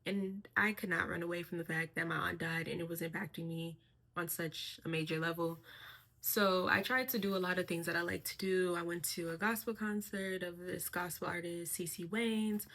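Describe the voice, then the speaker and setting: Bright-voiced young woman vlogging softly. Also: on the low side. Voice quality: monotone. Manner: soft-spoken, reflective, with frequent fillers and light laughs. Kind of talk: casual personal vlog.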